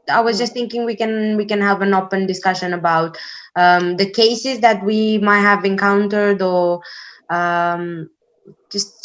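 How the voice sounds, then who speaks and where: A young woman from Romania leads a group discussion at a middle pitch. She talks haltingly, with lots of pauses and fillers as she gathers her thoughts. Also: low-quality recording. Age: young adult. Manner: hesitant, many fillers. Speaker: discussion leader.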